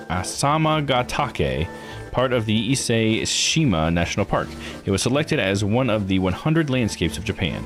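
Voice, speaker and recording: Man reading a game aloud, lively pitch. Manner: stumbles over unfamiliar names, muttered asides. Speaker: streamer playing a visual novel. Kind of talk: live read-aloud commentary of a game.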